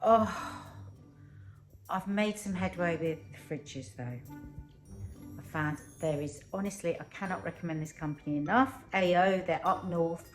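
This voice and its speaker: A woman's voice: fairly low pitch, measured pace, soft background music. A British woman vlogging in casual chat to camera, talking in an effusive, exclamatory way. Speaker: family vlogger.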